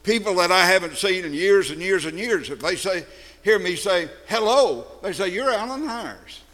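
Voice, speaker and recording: An older man with a deep, distinctive voice, reminiscing in a sermon with slow, deliberate phrasing. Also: animated, a bit bright, long reverb tail. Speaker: preacher. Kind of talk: sermon-style storytelling.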